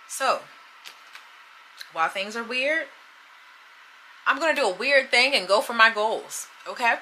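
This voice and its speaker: Bright-voiced chatty woman in her thirties. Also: mid-range voice, slight background noise, rough recording. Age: 30s. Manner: chatty, candid, laughs often. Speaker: vlogger. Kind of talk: casual vlog chat.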